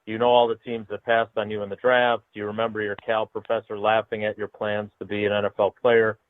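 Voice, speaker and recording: A man's voice: lowish voice, monotone, fairly quick. A man working as a sports reporter, putting a long prepared question on a press call. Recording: thin phone-like call, dry.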